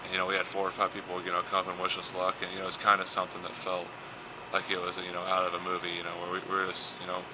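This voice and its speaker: Young man, American accent, low voice, fast casual talk, repeated words, college athlete interview, noisy fieldhouse. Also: monotone. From Indiana. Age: 20s. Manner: repeats words, frequent "you know". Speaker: college basketball player. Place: basketball fieldhouse. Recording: clear ambient noise of a gym.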